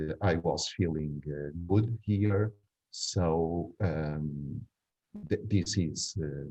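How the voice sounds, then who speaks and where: Man in his sixties, Portuguese accent and native language, very low mellow voice, hesitant conversational storytelling, searching for words. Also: highs cut off. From Angola. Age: 60s. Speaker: interviewee.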